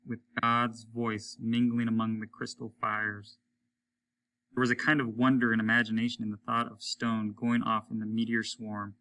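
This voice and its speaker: A man reading a short story aloud as dramatic narration, measured and solemn. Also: mid-range voice, vocal fry, bright, forceful tone, poor audio. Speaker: audiobook reader, teacher.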